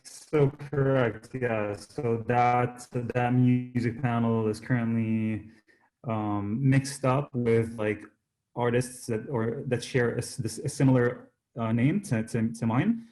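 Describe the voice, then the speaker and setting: Halting, casual man being interviewed. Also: medium pitch, fairly even intonation, darkish tone, poor audio. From Jordanian-born, Canada. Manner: hesitant, halting, repeats words. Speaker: singer-songwriter guest.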